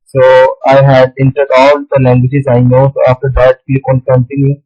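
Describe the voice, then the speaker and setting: A man whose first language is Urdu, walking through a screen tutorial in a halting way, often pausing on "okay, so". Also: medium pitch, completely flat delivery, highs cut off. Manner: halting, with many "okay, so" fillers. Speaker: tutorial host. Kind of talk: screen-recorded tutorial walkthrough.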